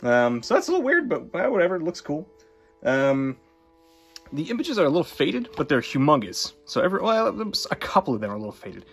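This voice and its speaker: Relaxed man reviewing a book. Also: a little high, brisk, breathy edge, soft background music. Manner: relaxed, lots of ums and likes, small laughs. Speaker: book reviewer and swordsmanship instructor. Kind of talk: casual spoken book review.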